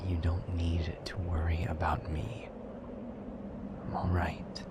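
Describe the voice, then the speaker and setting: A man playing a brooding, wounded demon lover in an intimate audio roleplay, speaking in a hushed, breathy, measured way. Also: low-pitched, monotone, very noisy. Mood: guarded concern.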